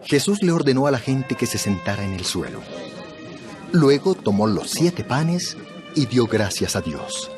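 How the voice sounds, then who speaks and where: Male scripture narrator over soft music. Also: mid-range voice, big pitch swings, a little slow. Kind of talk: scripted narration of a dramatized audio Bible. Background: background music.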